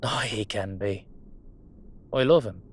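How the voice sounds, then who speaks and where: A man playing a gruff but fond Irish dullahan in a roleplay audio drama, speaking in a colloquial, hesitant, sweary way. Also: fairly low pitch, takes it slowly, a little hiss or hum. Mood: fond, reassuring.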